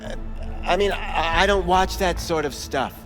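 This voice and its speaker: An actor voicing a smug, evasive back-alley implant doctor in a video game, with florid, theatrical phrasing. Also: male voice, sits high, unhurried, fairly bright tone, long reverb tail, faint music behind. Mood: defensive, flustered. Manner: florid, theatrical wording, stammers when pressed.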